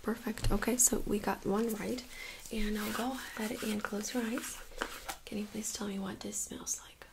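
A woman speaking in a soft, breathy near-whisper, gently giving instructions as a medical examiner in an ASMR role-play. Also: medium pitch, fairly even intonation, moderate pace, background noise. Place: small room indoors.

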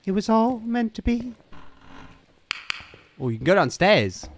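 A man's voice: high-pitched, big pitch swings, a little slow, a little hiss or hum. A young man from Somerset with a Somerset accent, streaming a ghost-hunting game and chatting casually into a desk mic in a small room. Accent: Somerset English. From Somerset, England. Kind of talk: casual gaming commentary. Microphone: studio mic on a boom arm.

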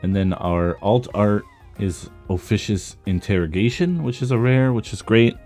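Male card-game creator, very low mellow voice with a touch of roughness, casual unboxing commentary, music underneath. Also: normal speed. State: slightly rough voice from talking a lot. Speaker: trading card content creator.

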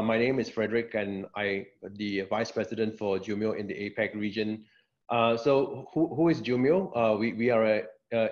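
A middle-aged man introducing himself as a tech company vice president on a panel, speaking in a measured way with many fillers and repeats over a video call. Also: fairly low pitch. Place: indoor office. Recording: dull lo-fi video call audio.

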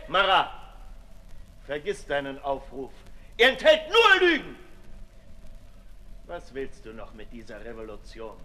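Taunting disillusioned nobleman, high sharp voice, declamatory, mocking, 1960s radio play. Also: exaggerated voice. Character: cynical, taunting, disillusioned aristocrat writer, male. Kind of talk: 1960s German radio drama acting. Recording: echoey hall, noisy 1960s radio recording.

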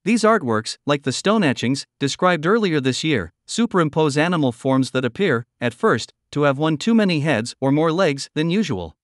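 A man reading a scripted documentary narration in an even, measured way. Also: a little high, no room sound, clean studio sound.

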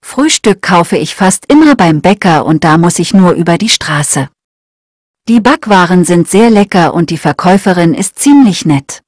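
A woman reading short learner texts aloud in a slow, clear, scripted way. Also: on the low side, dry recording, clean studio sound. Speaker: German lesson reader.

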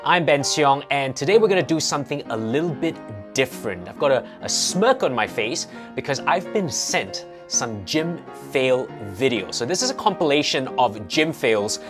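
A man's voice: medium pitch, animated, moderate pace, music in the background. A middle-aged man hosting a casual reaction video, explaining and giving coaching advice in an indoor office. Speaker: host, fitness coach.

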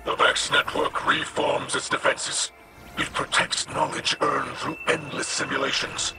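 Male actor solemn alien leader, growly whispery rasp, deliberate pacing, video game creature, clear background music. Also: medium pitch, level tone, natural voice. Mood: explanatory. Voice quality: breathy, rasping, growly creature voice. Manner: formal, deliberate. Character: gentle, guilt-ridden male alien leader and scholar. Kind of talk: video game drama dialogue.

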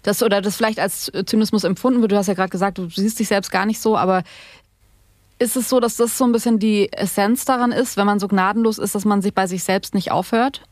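A woman's voice: medium pitch, steady tone, quick pace, no echo, clean studio sound. A woman hosting a podcast, asking long, conversational interview questions.

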